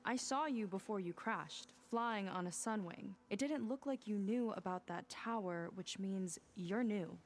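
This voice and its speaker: Bold, brisk young warrior woman played by actress. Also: medium pitch, soft background music, natural voice. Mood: probing. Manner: brisk, direct, confident. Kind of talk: video game cutscene dialogue.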